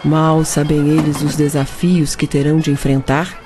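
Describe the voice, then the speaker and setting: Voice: medium pitch, flat delivery, moderate pace, darkish tone. A narrator with a Brazilian accent reading scripted narration for a radio drama. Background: music.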